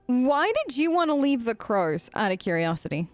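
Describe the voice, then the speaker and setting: A woman with a fairly high voice plays through a fantasy game, improvising in character. She is chatty and conversational, full of questions, recorded close and dry with soft music behind her. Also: sing-song, normal speed, no echo. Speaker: let's play host. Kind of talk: improvised in-character role-play commentary.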